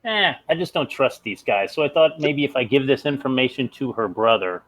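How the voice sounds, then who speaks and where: A man's voice: medium pitch, expressive, brisk, fairly bright tone. A man who plays a tabletop game, casually talking over plans with the group.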